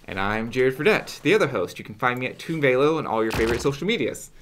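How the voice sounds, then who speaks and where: A young man co-hosting a casual podcast, trading quick, jokey banter. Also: medium pitch, big pitch swings, fairly bright tone, slight background noise. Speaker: podcast co-host.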